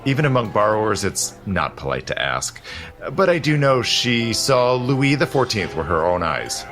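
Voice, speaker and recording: Suave, deep-voiced, teasing gentleman. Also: big pitch swings, normal speed. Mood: confiding, playful. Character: suave, teasing immortal gentleman protector, secretly seventy-two. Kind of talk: audio drama acting. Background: faint music.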